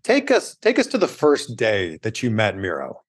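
A man's voice: lowish voice, wildly swooping pitch, normal speed. A man hosting an interview in a conversational style, putting questions and remarks to his guest. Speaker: interview host.

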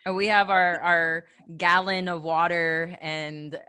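A woman's voice: on the low side, level tone, takes it slowly, sharp tone, smooth. A woman from the American Midwest interviewing in a casual, friendly chat, agreeable and given to reminiscing. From Ohio, American Midwest.

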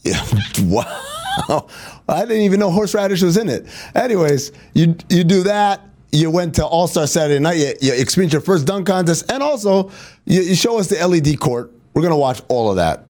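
A man's voice: high-pitched, huge pitch swings, normal speed, a little mellow. Playful sports-show host, man. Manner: playful, teasing, laughs often. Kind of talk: sports talk show hosting.

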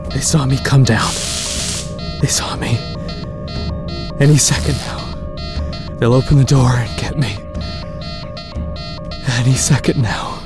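A desperate, defiant male captive played by an actor in an audio drama. His voice is mid-pitched and sits a little distant, with prominent sound and music around it. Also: fairly even intonation, takes it slowly, long pauses, lightly acted. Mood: panicked, breathless.

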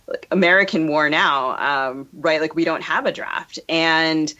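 A woman co-hosting a podcast interview, feeling her way through questions in a halting, tentative, thoughtful manner. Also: sits low, fairly even intonation, bright, forceful tone, dull audio. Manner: halting, tentative, thinks aloud. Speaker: podcast co-host.

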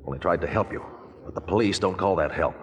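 Low-voiced scheming lawyer, played by an actor. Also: male voice, a little hiss or hum, lightly acted. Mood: coolly reassuring. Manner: measured, coolly persuasive, formal. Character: smooth, controlling, scheming lawyer, adult man. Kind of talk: 1940s radio drama acting. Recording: vintage radio, long reverberant tail.

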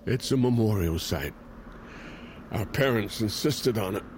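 An actor playing a guilt-worn, wry brother in an audio drama, speaking haltingly and naturalistically. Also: male voice, on the low side, lively intonation, darkish tone, slight background noise. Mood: defensive, hesitant.

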